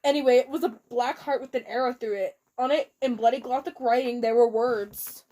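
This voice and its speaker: A young woman with a high voice reads fan fiction aloud as comedy. Her delivery is casual and giggly, swinging into mock drama and stepping out for offhand asides. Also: fairly even intonation, fairly quick, warm tone. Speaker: amateur fan fiction reader.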